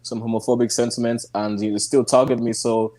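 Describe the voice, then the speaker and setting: A man's voice: mid-range voice, fairly quick, poor audio. A young man from Nigeria, a guest on a video-call interview, talking casually with frequent laughs and restarts. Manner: relaxed, laughs often, speaks in loose restarts. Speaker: guest activist.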